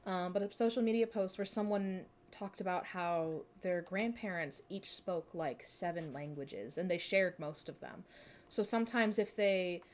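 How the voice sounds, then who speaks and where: A woman's voice: fairly low pitch, a little slow. A woman in her twenties with a Midwestern American accent, chatting casually and giggling as she streams a game. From Minnesota, USA. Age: 20s. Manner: chatty, giggly, self-deprecating. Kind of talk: casual live-stream chat while playing.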